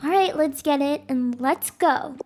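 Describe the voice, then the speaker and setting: A woman voicing a busy, caring, organised mother in a family roleplay, brisk and instructive. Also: high-pitched, music in the background, voice pushed down.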